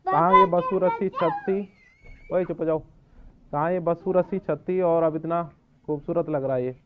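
A man's voice: fairly high pitch, very animated, moderate pace, phone-quality sound, background noise. A man vlogging casually outdoors on a rooftop terrace. He talks to his viewers as friends and asks the children friendly questions. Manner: chatty, addresses viewers as friends. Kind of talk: casual vlog narration.

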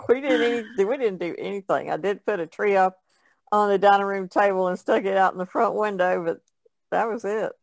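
A woman's voice: medium pitch, normal speed, creaky, no room sound. An older American woman chatting casually on a podcast in a wry, plain-spoken way. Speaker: podcast panelist. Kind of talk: casual chat.

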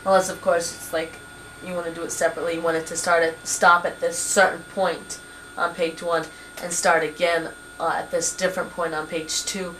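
A young woman patiently teaching word processing at a desk computer, with many pauses and ums, recorded on a 1990s camcorder in a small home office. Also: lowish voice, flat delivery, fairly bright tone, rough recording. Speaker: computer instructor. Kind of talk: hands-on software tutorial. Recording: 1990s camcorder with faint hiss.

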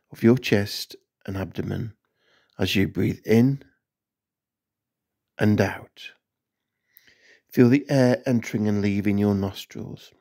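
A man narrating a scripted guided meditation in measured, instructional short phrases. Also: fairly low pitch, creaky, warm tone.

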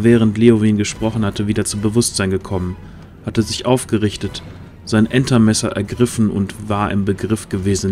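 A man narrating a scripted fantasy audio drama in a measured storytelling manner. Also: fairly low pitch, a little mellow.

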